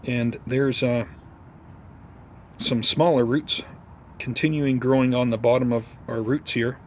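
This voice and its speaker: A man chats casually to viewers as the host of an outdoor gardening vlog. His voice sits at a medium pitch, and he talks in an unhurried, slightly halting, informal way. Faint ambient and vehicle noise runs behind him. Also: steady tone.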